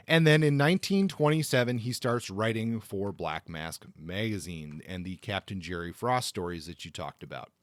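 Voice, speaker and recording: Male podcast host, informal biographical narrator, conversational and halting, recorded dry. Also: lowish voice, animated, dry recording.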